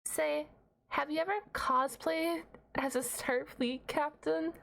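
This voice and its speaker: A woman's voice: high-pitched, steady tone, slow pace, vocal fry, a bit bright, heavily acted. A woman voicing a shy, nerdy, flustered half-dragon librarian in an ASMR roleplay, hesitant and rambling with awkward humour. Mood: shy, excited.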